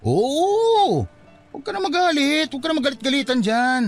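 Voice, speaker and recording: A high, swooping Filipino voice playing a cheeky young suitor in a radio romance. He wheedles and teases insistently, always playful and scheming. Music runs under the dialogue. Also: big pitch swings, moderate pace, heavily acted. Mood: playful, triumphant. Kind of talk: Filipino radio-style romance drama acting.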